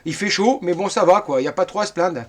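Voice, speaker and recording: Guy in his 50s from northern france, pretty high voice, chatty radio ham, repeats himself. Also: fast. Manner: chatty, rambling, repeats words. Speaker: amateur CB radio operator. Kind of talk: casual radio contact chat.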